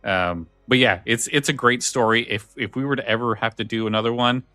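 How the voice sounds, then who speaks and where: A man's voice: medium pitch, brisk, bright, forceful tone, no echo, soft background music. A man hosting a podcast in casual conversation about scary stories, often repeating words as he feels his way along. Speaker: podcast host.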